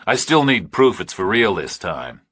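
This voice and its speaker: A man's voice: lowish voice, very animated, normal speed, a bit bright, husky, no room sound, clean studio sound, heavily acted. A man playing a laconic, unflappable tough investigator, matter-of-fact, in an audiobook thriller. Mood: calm, assured.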